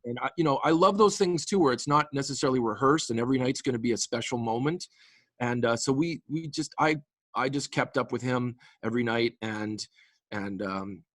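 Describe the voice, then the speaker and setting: A man's voice: mid-range voice, brisk, a bit bright, no echo, clean studio sound. A man in his late forties from the Canadian prairies, chatting as a guest musician in a casual interview, enthusiastic and rambling with frequent restarts. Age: late 40s.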